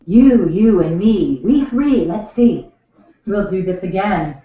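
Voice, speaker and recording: A woman's voice: mid-range voice, animated, slow pace. A woman playing a weird, scheming witch in an educational radio play. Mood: eerie, excited. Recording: very echoey room, dull sound, off mic.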